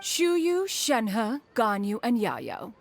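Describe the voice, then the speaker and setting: A woman's voice: fairly high pitch, huge pitch swings, drawn-out words, creaky, music in the background, full character voice. A woman playing a proud, ancient immortal inventor in a video game, speaking in an archaic, pompous, formal manner. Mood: aloof, self-important. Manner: archaic, pompous, formal, calls herself "one". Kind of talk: animated video game voice acting.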